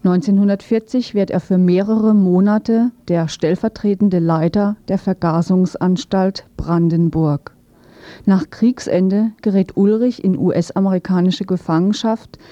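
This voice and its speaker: A woman's voice: on the low side, steady tone, measured pace, dark tone. A woman presents a scripted radio news feature, reading it aloud in a sober, matter-of-fact way. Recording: dull, roomy lo-fi radio recording.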